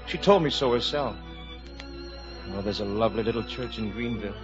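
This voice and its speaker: A man's voice: on the low side, a little fast, natural voice. An actor playing a charming, courtly young man in love, in a 1950s radio musical drama, speaking earnestly. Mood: ardent, pleading. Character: charming, courtly young riverboat gambler, an ardent suitor. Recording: muffled old radio broadcast with music.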